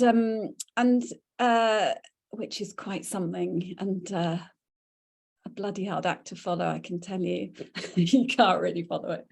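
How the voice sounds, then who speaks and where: A woman's voice: mid-range voice, darkish tone. A British woman giving an informal, reminiscing talk as a guest speaker, hesitant and often repeating words as she goes.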